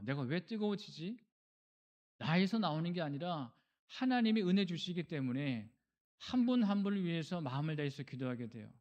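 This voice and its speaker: A man's voice: fairly high pitch, darkish tone, dull audio. A man preaching a sermon as a pastor, earnest and exhorting, acting out short bits of dialogue. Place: church service.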